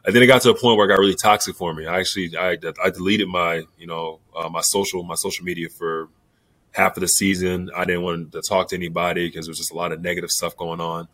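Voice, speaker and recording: A man's voice: low-pitched, level tone, fast talker, fairly bright tone, no room sound. A man in his twenties, a pro basketball player, giving candid interview answers in a laid-back, rambling way full of fillers. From New York.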